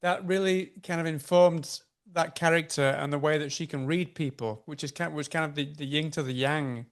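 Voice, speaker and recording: A man's voice: on the high side, steady tone, moderate pace. A man hosting an interview, asking long questions with many stammers, fillers and restarts. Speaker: interview host. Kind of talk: conversational interview.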